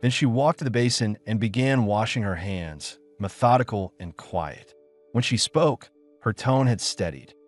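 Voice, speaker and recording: A man's voice: on the low side, unhurried. A man narrating a scripted story as an audiobook, with music behind him.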